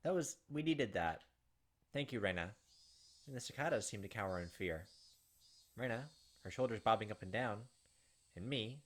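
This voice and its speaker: A man's voice: lowish voice, slight background noise. A man hosting a gaming video, reading a visual novel aloud and adding casual commentary over game music. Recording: music behind the voice.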